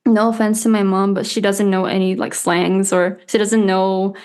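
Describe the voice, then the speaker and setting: A young woman in her twenties whose first language is Japanese talks as a podcast guest. She chats loosely, with lots of "like" and "um" and easy little laughs, at a mid pitch for a woman. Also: flat delivery, moderate pace, darkish tone, clean tone. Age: 20s. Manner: chatty, lots of "like" and "um", laughs lightly. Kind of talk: casual interview chat.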